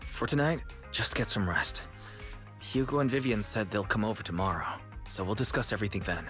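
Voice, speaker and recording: An actor playing a caring, worried young man in a video game, calm and protective. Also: on the low side, a little fast, faint music behind, close to an everyday voice.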